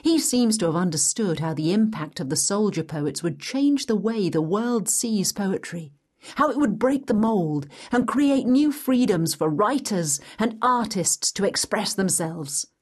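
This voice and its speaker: A woman's voice: medium pitch, expressive, measured pace. A woman playing a welcoming guide through history, delivering scripted educational narration in an explanatory way. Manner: clear, explanatory.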